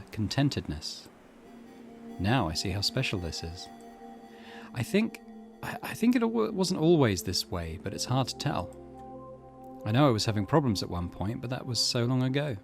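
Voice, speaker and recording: Male let's play host, mid-pitched voice, casual, musing, reads game text aloud, faint background music. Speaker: gaming channel host.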